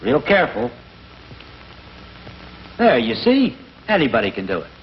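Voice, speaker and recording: A man's voice: medium pitch, wildly swooping pitch, unhurried, slight background noise. A man playing an easygoing, encouraging American fellow in a 1950s commercial skit, coaxing casually step by step. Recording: dull 1950s broadcast audio.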